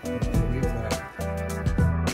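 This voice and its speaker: A man's voice: mid-range voice, flat delivery, drawn-out words. A man talking casually while filming a home cooking video in his kitchen. He gives simple, encouraging step-by-step cooking tips. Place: home kitchen and dining table, indoors. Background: loud background music.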